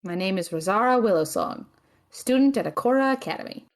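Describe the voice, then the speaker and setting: A woman's voice: mid-range voice, lively intonation, brisk, lightly acted. A woman playing a practical, exasperated young female student with a skeptical, dry manner in an audio drama. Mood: reluctant, dry.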